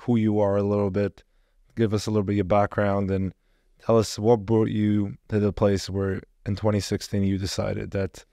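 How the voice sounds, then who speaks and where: Man, lowish pitch, flat delivery, dry close sound, podcast interviewer, measured and halting. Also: dry recording. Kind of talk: podcast introduction and interview questions.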